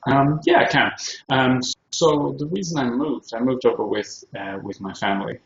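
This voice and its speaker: A man from Scotland with a British accent, talking casually and haltingly as the host of a video show, recorded in his kitchen. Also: mid-range voice, vocal fry, a bit bright. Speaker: host interviewing himself. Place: home kitchen. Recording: roomy, echoey, dull sound with faint noise.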